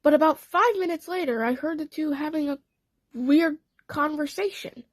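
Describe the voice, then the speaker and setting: A woman narrating a fan audio play as a first-person story, with a halting, dramatic delivery. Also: high voice, no room sound.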